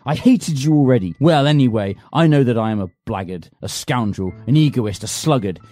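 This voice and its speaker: A man playing a bitter, self-loathing poor man who swings from shouted rants to low, brooding talk. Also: animated, fairly quick, no room sound, close to an everyday voice. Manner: melodramatic, lurching from shouted rants to low narration. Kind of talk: comic amateur drama reading.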